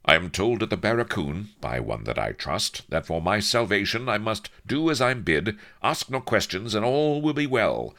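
Man as earnest, animated young naval hero. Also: on the low side, normal speed, creaky, few pauses, voice pushed up, heavily acted. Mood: bewildered. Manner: old-fashioned, fervent, stiffly dutiful. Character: earnest, naive, gallant young naval hero, baffled yet fervent. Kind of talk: audiobook character voice.

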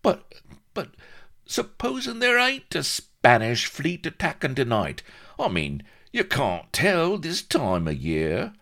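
High, quavering, flustered little agent. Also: male voice, huge pitch swings, slow pace, vocal fry, a bit bright, exaggerated voice. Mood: bewildered. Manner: quavering, muttering, indignant. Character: fretful little middle-class agent, flabbergasted, squeaky and whining.

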